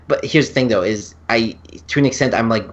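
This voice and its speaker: A woman's voice: a bass voice, a little fast. Woman rambling earnestly, slightly muffled sound. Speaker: podcast co-host or guest. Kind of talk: casual fan discussion.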